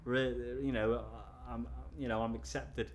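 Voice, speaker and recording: A man's voice: medium pitch, flat delivery, a little slow. A middle-aged man from North Wales with a Scouse accent, talking casually in an interview and rambling with lots of fillers and restarts.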